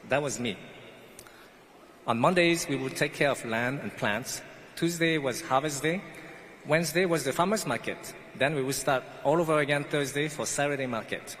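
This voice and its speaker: A man's voice: on the high side, normal speed, a bit bright. A man from French Guiana, not a native English speaker, giving an earnest commencement speech about his life. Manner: earnest storytelling. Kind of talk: prepared speech. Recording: echoey hall, faint ambience.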